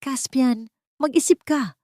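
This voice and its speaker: A woman's voice: high-pitched, slow, mellow, no echo, clean studio sound, voice pushed up. Woman acting a domineering, furious old rich mother. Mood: furious, commanding. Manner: scolding, commanding, indignant.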